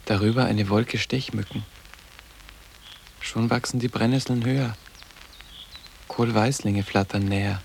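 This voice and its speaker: A man's voice: fairly low pitch, fairly even intonation, a little slow, creaky, pauses often, slight background noise. An actor playing a sarcastic, detached teenage boarding-school boy in a radio play, with terse, ironic and poetic phrasing. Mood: wry, musing. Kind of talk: 1980s German radio play acting.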